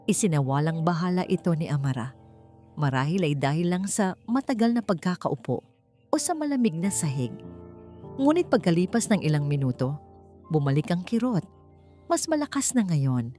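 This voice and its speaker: Low-voiced woman narrating a dramatic story. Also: sing-song, normal speed, warm tone, soft background music.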